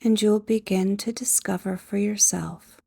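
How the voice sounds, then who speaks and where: Female sleep hypnosis guide: mellow, creaky low-energy delivery, soft and breathy, gentle, measured, with long pauses. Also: steady tone, no echo, clean studio sound. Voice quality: soft, breathy, often near a whisper.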